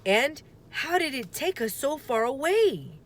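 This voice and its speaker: A woman's voice: sits high, very animated, measured pace, pitched above the performer's own voice. A woman voicing an earnest, cautious young boy in a children's storybook, with a questioning, wondering manner. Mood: puzzled. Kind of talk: children's storybook read-aloud.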